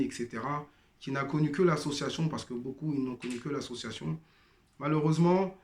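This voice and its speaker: A man's voice: mid-range voice, roomy sound. A man giving a personal testimony monologue, hesitant with many fillers and restarts, addressing listeners as brothers and sisters. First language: not Arabic-speaking. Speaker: former member sharing testimony.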